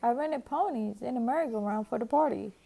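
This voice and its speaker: A woman reading a storybook aloud and voicing a kindly, easygoing father bear in a gentle fatherly way. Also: on the high side, brisk, creaky, poor audio.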